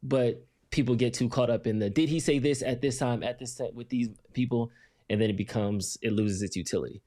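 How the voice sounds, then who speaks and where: A man's voice: mid-range voice, fairly quick, warm tone. A man co-hosting a live podcast, explaining his views in a loose, rambling way with lots of fillers. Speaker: co-host reading the chat. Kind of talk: casual live-stream talk.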